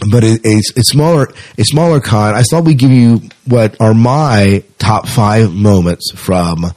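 A man's voice: medium pitch, darkish tone, dry recording. A middle-aged man chatting casually as the host of a podcast.

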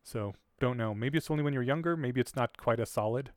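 A man co-hosting a podcast, chatting casually and thinking out loud with curiosity; his first language was Polish. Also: medium pitch, fairly quick, no echo, studio quality. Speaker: podcast co-host. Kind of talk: casual discussion.

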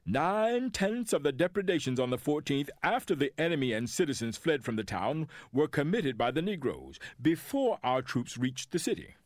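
An actor playing a Union general who gives a formal, official military report in a dramatic reading. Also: male voice, fairly high pitch, sing-song, normal speed, hardly any pauses, no room sound. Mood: formal, composed. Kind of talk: dramatic reading of historical documents.

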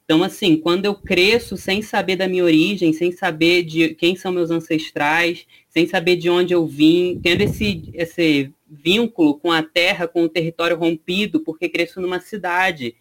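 A man's voice: high voice, fairly even intonation, moderate pace, hardly any pauses, fairly bright tone. A man in his twenties from Brazil, giving earnest interview testimony and building his points through repetition. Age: 20s.

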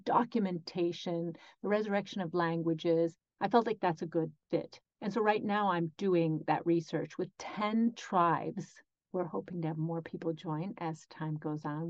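A woman voicing a guest's answers as an interpreter in an interview, speaking in measured phrases with frequent pauses. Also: fairly low pitch, a little mellow, dry recording. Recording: dry, slightly dull lo-fi audio.

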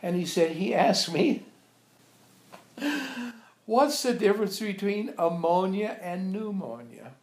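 A man's voice: high voice, lively intonation, slow, pauses often, darkish tone. An older man informally telling anecdotes to a small group, animatedly acting out the dialogue he quotes. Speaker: monk and abbot recalling his teacher. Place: echoey room with a few visitors. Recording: echoey room recording.

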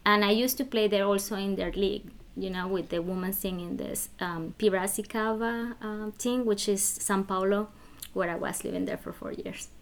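A woman from Venezuela with a Venezuelan Spanish accent, a guest on a podcast. Her voice sits at an ordinary middle pitch. She searches for words, leaning on fillers and repeats. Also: fairly even intonation, moderate pace. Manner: hesitant, many fillers and restarts. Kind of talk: podcast interview.

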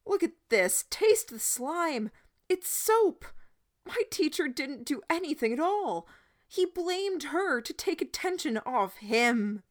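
A woman voicing a desperate teenage boy who shouts and pleads with a crowd. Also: steady tone, slow pace, creaky, exaggerated voice. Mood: desperate shouting. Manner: pleading, shouting, high and loud.

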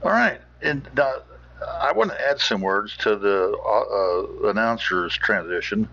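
An American man hosts with halting, rambling commentary. His voice is fairly low, with heavy gravel and a forceful edge. The sound is a little dull. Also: lively intonation. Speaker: podcast host. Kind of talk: unscripted commentary.

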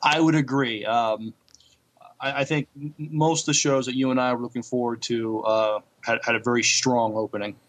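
A man's voice: medium pitch, fairly even intonation, moderate pace, fairly bright tone. A man co-hosting a podcast in casual chat, hesitantly repeating words as he searches for the next. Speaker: podcast co-host.

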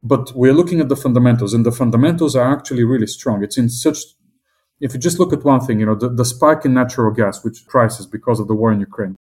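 Measured optimistic businessman with foreign accent. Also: medium pitch, darkish tone. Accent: non-British English accent. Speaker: investment company executive. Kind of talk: business interview answers.

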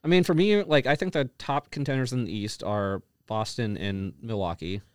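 A man's voice: mid-range voice, moderate pace, smooth, dry recording, clean studio sound. A man co-hosting a sports podcast, casually debating and pressing his points insistently.

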